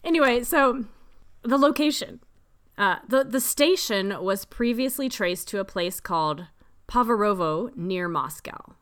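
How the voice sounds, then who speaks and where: A woman's voice: mid-range voice, expressive, unhurried. A woman in her thirties co-hosting a podcast in casual chat. She sometimes reads her research notes aloud. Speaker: podcast co-host.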